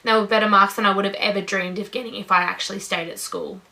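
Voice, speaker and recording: A woman's voice: medium pitch, fairly even intonation, quick pace, pushed and bright, rough recording. A nineteen-year-old Australian woman in her first vlog, talking casually to camera in an upbeat, gushing way. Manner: upbeat, gushing, says "incredible" and "you know" often. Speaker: vlogger.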